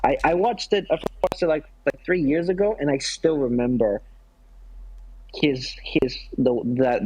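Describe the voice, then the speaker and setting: A man's voice: a little high, a little slow, darkish tone, slightly rough, low-quality recording. A man chatting casually and enthusiastically, with an effusive way of talking. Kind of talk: casual chat.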